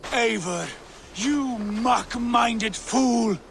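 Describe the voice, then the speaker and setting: An actor playing an arrogant, hot-tempered Viking lord in a video game, scolding and imperious. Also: male voice, extremely high pitch, drawn-out words, creaky, slight background noise, full character voice. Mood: furious. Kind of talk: video game cutscene acting.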